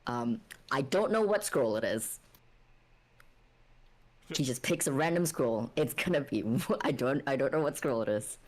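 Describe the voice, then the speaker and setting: A woman playing a tabletop game, casually narrating her character's actions while thinking aloud in a halting way. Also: a bass voice, expressive, darkish tone.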